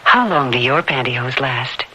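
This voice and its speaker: A woman's voice: very deep voice, moderate pace, very noisy. A woman delivering scripted narration for a 1970s radio commercial. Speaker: commercial announcer. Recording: dull old radio ad, loud music.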